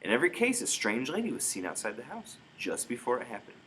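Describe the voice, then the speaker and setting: A man's voice: medium pitch, huge pitch swings, fast talker, a bit bright, low-quality recording. A man reads the part of a very old storybook grandmother. She is loving and solemn, stressing her warnings with a varied melody. Faint noise sits behind the voice. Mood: grave. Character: very old, loving, grave Norwegian grandmother telling witch tales.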